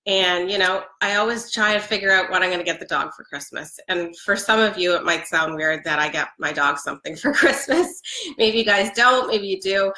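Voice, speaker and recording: A woman's voice: fairly low pitch, sharp tone. A woman in her forties with a head cold, chatting casually on a live stream from her living room, upbeat with plenty of ums. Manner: chatty, upbeat, many ums, laughs often. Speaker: product seller. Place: living room with a Christmas tree. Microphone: webcam at close range. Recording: echoey room.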